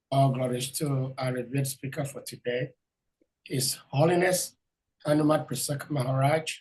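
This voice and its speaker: A man hosting an online devotional talk, hoarse from losing his voice, welcoming a speaker politely with many hesitations. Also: mid-range voice, darkish tone, rough recording. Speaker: host. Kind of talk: formal welcome and introduction.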